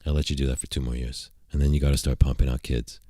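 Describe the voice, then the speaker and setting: A man's voice: a bass voice, level tone, fast, mellow, slightly rough, no room sound, studio quality. A man hosting a casual livestream at his computer, rambling and joking in a crude, provocative way. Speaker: streamer and channel host. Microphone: desk mic at a computer.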